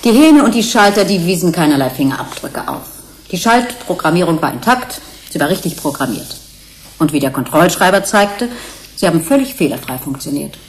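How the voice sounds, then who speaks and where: An actress playing an earnest, matter-of-fact research investigator in a German radio play, firm, precise and emphatic. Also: female voice, on the low side, expressive, a little fast. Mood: factual. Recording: 1980s radio play, faint noise, ambience.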